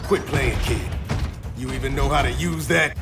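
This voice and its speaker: An actor playing a gruff, scornful veteran fighter in an echoey video game cutscene. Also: male voice, mid-range voice, moderate pace, lightly acted. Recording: echoey, music and machinery behind.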